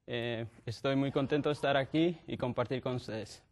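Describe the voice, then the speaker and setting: A man's voice: medium pitch, moderate pace. A young man from Mexico on a discussion panel, thinking aloud hesitantly and repeating words. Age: young adult.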